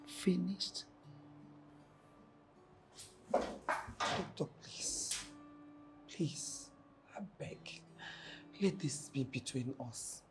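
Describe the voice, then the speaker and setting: A woman's voice: on the low side, dark tone. A woman acting a middle-aged wife and mother who pleads guiltily and stammers, repeating herself, with noise behind her. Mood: hushed pleading. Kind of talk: melodramatic screen acting.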